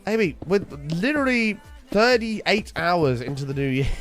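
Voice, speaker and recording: Hoarse streamer, animated banter. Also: male voice, high voice, a little slow, faint music behind. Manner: bantering, wry, teasing chat members. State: hoarse, sore voice. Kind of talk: casual chat with viewers.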